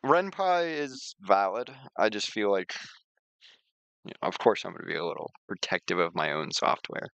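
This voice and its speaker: A man streaming a casual software walkthrough, explaining things in an informal, rambling way. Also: deep voice, sing-song, moderate pace, vocal fry, no echo. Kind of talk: casual screen-share walkthrough and chat.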